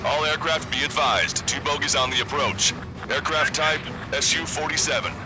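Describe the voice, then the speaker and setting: An actor playing a businesslike military air controller in a video game, giving clipped procedural callouts. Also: male voice, on the high side, normal speed, close to an everyday voice, rough recording. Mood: urgent, businesslike. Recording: loud music behind combat radio chatter.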